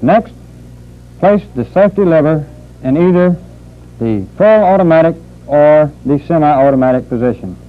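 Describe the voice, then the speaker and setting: Man, narrow tinny old film audio, fairly high voice, military instructor, measured formal teaching narration. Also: lively intonation. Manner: formal, measured, deliberate phrasing. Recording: muffled phone-like old training film soundtrack.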